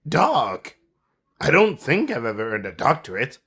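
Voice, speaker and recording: A man's voice: mid-range voice, huge pitch swings, measured pace, sharp tone, breathy edge, heavily acted. A man voicing a kindly, befuddled older tinkerer in a cartoon comic dub, with a mild, polite, puzzled way of talking. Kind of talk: comic book fan dub cartoon acting.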